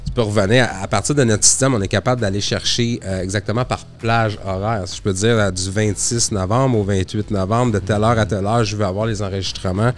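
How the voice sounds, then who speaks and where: A man with a Québécois accent, explaining how a radio system works in a relaxed podcast chat. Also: lowish voice, a little fast, hardly any pauses. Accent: Québécois French. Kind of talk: casual explaining.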